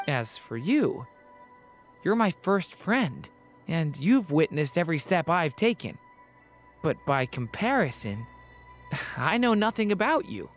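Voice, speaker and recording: An actor voicing a gentle, earnest young male artificial being in a video game, sincere and a little hesitant. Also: medium pitch, big pitch swings, slow pace, pauses often. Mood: tender, hopeful. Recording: game music behind.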